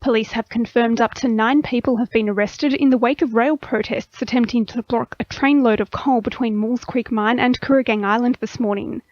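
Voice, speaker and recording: A woman's voice: a little high, steady tone, brisk, hardly any pauses, darkish tone, no echo, highs cut off. An Australian woman reading a scripted radio news bulletin as the station's news reader. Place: dry radio studio.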